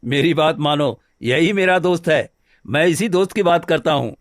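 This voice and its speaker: Homely cartoon woodcutter, male actor, eager and reassuring, slightly high pitch, very dry sound. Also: normal speed, dry recording, natural voice. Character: good-natured, eager married woodcutter, a simple villager. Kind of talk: Hindi cartoon story dialogue.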